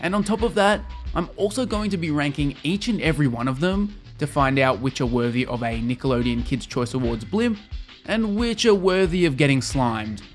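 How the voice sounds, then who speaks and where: A young adult man narrating a scripted video essay as a game reviewer and host. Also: on the high side.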